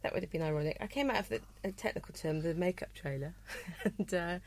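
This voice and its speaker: A woman's voice: lowish voice, quick pace, darkish tone. A woman from Wales, her accent mostly worn away, a chatty, self-deprecating guest on a podcast. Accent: faint Welsh, mostly lost. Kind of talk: casual podcast chat.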